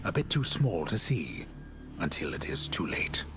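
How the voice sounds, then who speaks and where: An actor playing a solemn elderly storyteller narrating a Greek myth game in a measured, grandiose, dryly ironic way. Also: male voice, a bass voice, sing-song, in a whisper, loud music behind the voice, heavily acted.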